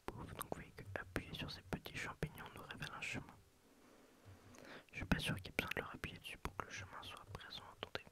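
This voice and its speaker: A man with a head cold whispering a rambling, soft ASMR game playthrough close to the mic. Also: fast. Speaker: gameplay ASMR creator. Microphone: close ASMR microphone.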